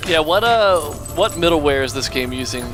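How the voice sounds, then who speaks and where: Male Let's Play co-commentator, lightly high pitch, sardonic and sweary, music clearly behind him. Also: expressive, normal speed, rough recording. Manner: sarcastic, profane. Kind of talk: casual joking gaming commentary. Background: video game music.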